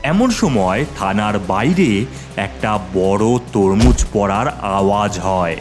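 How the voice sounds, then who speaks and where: Slow male horror narrator over music. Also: on the low side, expressive. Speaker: story narrator. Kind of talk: dramatic scripted horror narration.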